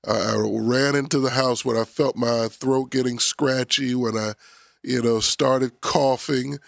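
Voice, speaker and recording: A man's voice: medium pitch, fairly even intonation, a little slow, creaky, no room sound, studio quality. A man in his forties, a pastor hosting a radio talk show, sounding nasal and scratchy from a summer cold, playful and preacherly. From Philadelphia area.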